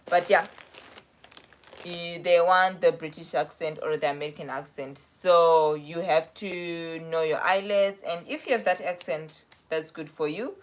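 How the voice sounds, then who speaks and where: A woman's voice: lowish voice, measured pace. A young woman with a South African accent, chatting casually on a livestream and answering viewer questions in a rambling, self-correcting way. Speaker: livestream host.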